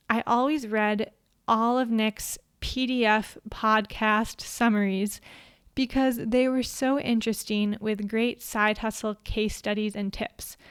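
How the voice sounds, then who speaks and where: A woman with a quiet voice, hosting a podcast and reading from a prepared script at an even, measured pace into a studio microphone. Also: mid-range voice, smooth, no echo. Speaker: podcast host. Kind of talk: scripted solo talk.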